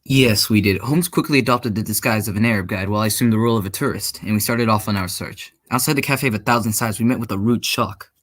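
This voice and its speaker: A man playing an earnest, loyal gentleman sidekick who also narrates an old-time radio mystery. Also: fairly low pitch, fast talker, few pauses. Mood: earnest recounting. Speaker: actor in a student radio drama.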